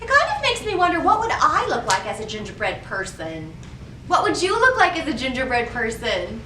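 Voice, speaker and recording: High, sing-song woman hosting children's storytime. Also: wildly swooping pitch, fairly quick, bright, forceful tone. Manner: sing-song, exaggerated questioning for young children. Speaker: children's library storytime host. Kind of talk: children's storytime instruction and picture-book reading. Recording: roomy, distant mic, faint background noise.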